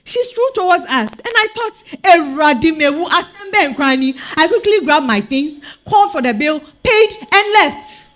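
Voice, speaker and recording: A woman's voice: extremely high pitch, moderate pace, few pauses. A woman with a Ghanaian accent playing a spirited single woman telling her dating story in a comic stage reading. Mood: storytelling. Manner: expressive, exasperated storytelling. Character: Araba, spirited Ghanaian single woman hunting foreign men.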